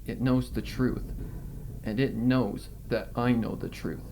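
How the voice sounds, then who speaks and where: A man's voice: medium pitch, steady tone, unhurried, creaky, mellow, a little hiss or hum, poor audio. A man reading a horror fan fiction as a frightened, guilt-ridden female pony, in a shaky, dramatic manner. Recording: music under the reading.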